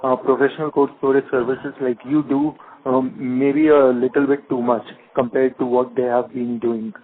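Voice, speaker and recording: Male analyst, mid-pitched, polite questioner who stumbles a little, heard down a telephone connection. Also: flat delivery, normal speed. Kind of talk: earnings call questioning. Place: conference call. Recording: thin phone line.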